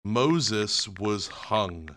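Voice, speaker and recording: A man in his twenties chatting casually and excitably to livestream viewers in slangy speech, close on a desk mic. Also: on the low side, drawn-out words, a little hiss or hum. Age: 20s. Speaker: livestream host. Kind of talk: casual reaction chat to stream viewers. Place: small decorated room at home. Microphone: close desk microphone on a boom arm.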